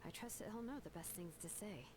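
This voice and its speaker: A woman voicing a gentle, earnest young adventurer in scripted video game dialogue. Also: on the low side, lively intonation, brisk, noisy background, lightly acted.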